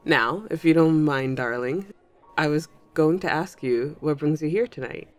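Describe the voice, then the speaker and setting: A woman's voice: low voice, measured pace, faint music behind. A woman voicing a flirtatious thunder fae in an intimate audio roleplay, teasing and coy as she addresses the listener. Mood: coy, flirty. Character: flirtatious thunder fae at a New Year's party, smooth charmer. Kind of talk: ASMR audio roleplay, intimate one-sided dialogue.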